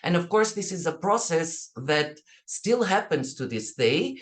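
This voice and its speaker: A woman's voice: lowish voice, sing-song, a little slow. Portuguese woman presenting academic research. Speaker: academic researcher, keynote speaker. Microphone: video call.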